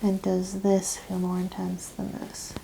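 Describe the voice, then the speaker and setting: Female, soft ASMR examiner, gravelly fry, whispered instructions, audible background noise. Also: lowish voice, completely flat delivery, a little slow. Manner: soft-spoken, often dropping to whispers, instructing step by step. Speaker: ASMR performer playing a medical examiner. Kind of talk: ASMR clinical exam roleplay. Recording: clear background noise and ambience.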